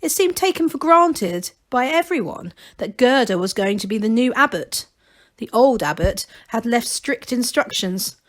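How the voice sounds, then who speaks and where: A woman's voice: a little high, lively intonation, a little slow. Woman reading a children's storybook aloud. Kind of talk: expressive storybook narration.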